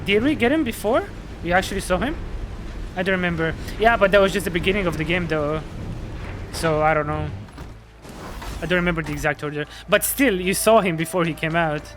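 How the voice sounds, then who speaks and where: A man's voice: sits high, fairly quick, pauses often. A man casually commenting while playing a game, chatty and musing aloud. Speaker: streamer. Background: game music.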